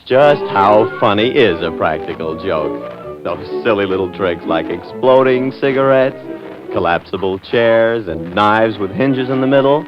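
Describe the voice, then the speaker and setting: A man's voice: fairly low pitch, expressive, measured pace, music in the background. An American man hosting and narrating a scripted 1940s radio program. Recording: dull old 1940s radio recording.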